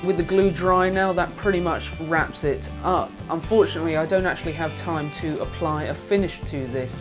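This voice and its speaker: A young adult man, host of a woodworking channel, calmly narrating each step of a build in an instructional voice-over. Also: on the high side, normal speed. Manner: calm, explains each step plainly. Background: background music.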